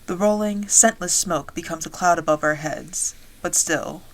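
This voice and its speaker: A woman playing a wry dragon-riding soldier, narrating a fantasy audio drama in the first person in a measured storytelling way. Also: fairly low pitch, close to an everyday voice, poor audio. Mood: composed, watchful.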